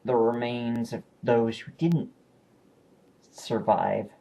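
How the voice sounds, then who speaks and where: A man's voice: medium pitch, vocal fry, low-quality recording. Man casually chatting about his discs. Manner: relaxed and unscripted, with pauses and self-corrections. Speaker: video collector showing new purchases. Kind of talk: casual home video review.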